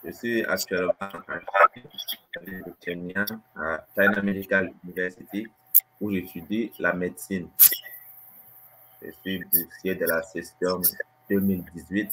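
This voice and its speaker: A man's voice: low-pitched, little pitch movement, a little slow, fairly bright tone. A man in his twenties with a Cameroonian accent, explaining things informally as a guest on a webcam call. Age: 20s. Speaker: guest, medical student. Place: indoor room. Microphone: webcam video call. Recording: noisy webcam call audio.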